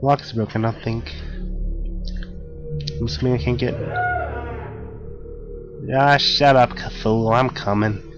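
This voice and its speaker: A man with a gravelly, allergy-hoarse voice talks slowly through a horror game in a middle pitch. He jokes and chats with the characters on screen. The audio is dull and lo-fi, with game music running underneath. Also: steady tone. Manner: jokey, talks to the game, gasps at scares. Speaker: gaming channel host. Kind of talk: casual horror game commentary.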